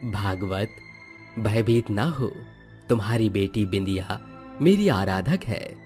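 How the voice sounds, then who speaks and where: A man voicing a calm, majestic male god who speaks slowly, solemnly and reassuringly in a Hindi moral tale. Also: lowish voice, faint music behind. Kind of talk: Hindi moral storybook acting.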